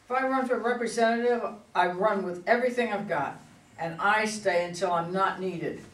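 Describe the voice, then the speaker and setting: A woman's voice: mid-range voice, moderate pace, natural voice. Recorded from a distance in an echoey room, an actress plays an older woman in politics, proud and combative. She speaks in an indignant, emphatic stage-play manner. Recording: echoey room, far from mic.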